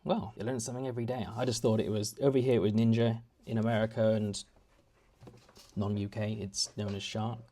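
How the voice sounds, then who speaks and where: Rambling man with a fairly low voice. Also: flat delivery, a little fast, mellow. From United Kingdom. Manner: rambling, self-deprecating, many restarts. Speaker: vlogger. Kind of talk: casual rambling vlog. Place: home, indoors.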